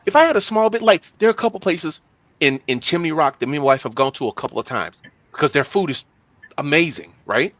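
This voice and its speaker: A man's voice: a little high, fast talker, no room sound. A man co-hosting a casual podcast, his voice swinging up and down animatedly as he jokes and repeats himself. The sound is dry and close, with no room around it. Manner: animated, joking, repeats words. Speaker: podcast co-host. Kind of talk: casual chat.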